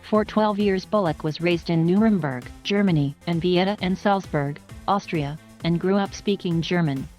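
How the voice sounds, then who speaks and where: A woman reading a scripted biography narration in an even, flat manner. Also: fairly low pitch, normal speed, hardly any pauses. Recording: faint music underneath.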